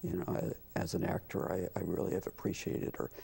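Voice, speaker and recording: Talk show guest: American man, deep husky voice, casual delivery with hesitant pauses. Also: flat delivery, mellow. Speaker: community theater representative, talk show guest.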